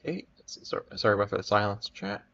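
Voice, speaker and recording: A man's voice: on the low side, animated, brisk, fairly bright tone. A man hosting a livestream, chatting casually to viewers in a hesitant, rambling way and often interrupting himself. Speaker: livestream host. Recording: dull, lo-fi microphone sound.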